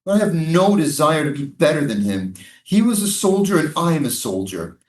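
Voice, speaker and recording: Guy acting a bitter sardonic army guard in a stage reading, really animated pitch, echoey room. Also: normal speed. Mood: bitter, wounded. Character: defensive, sardonic American military prison guard, a hardened soldier. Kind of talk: staged play reading, dramatic dialogue. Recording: roomy, echoing live staged reading.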